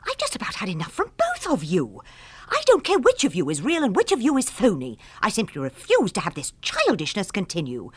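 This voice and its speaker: A woman's voice: medium pitch, huge pitch swings, fairly quick, husky. An English actress playing a bossy, sarcastic wife at her wits' end in a radio drama, scolding in formal, precise wording. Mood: stern, scolding.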